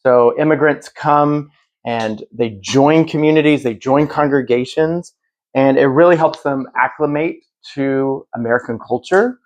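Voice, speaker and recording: A man speaking as the moderator of a public event, giving a prepared introduction in an earnest, measured, explanatory way. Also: medium pitch.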